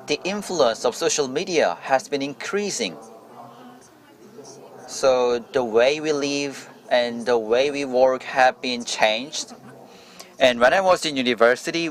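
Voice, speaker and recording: Male simultaneous interpreter, mid-pitched, halting delivery with frequent restarts. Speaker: interpreter voicing a journalist's speech. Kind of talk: simultaneous interpretation of a forum presentation.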